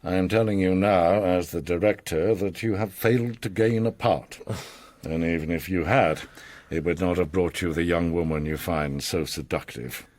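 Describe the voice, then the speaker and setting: An actor playing an older, worldly and enigmatic mastermind in a radio drama, speaking in a theatrical, measured, formal and philosophical way with a husky voice. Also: male voice, sits low, darkish tone, dry recording, lightly acted. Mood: dismissive, commanding. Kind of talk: radio drama acting, storytelling monologue.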